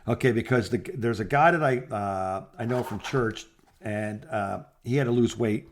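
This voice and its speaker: A man's voice: lowish voice, normal speed, background noise. An older man, a podcast co-host in a casual phone chat, giving advice in an easygoing, rambling way.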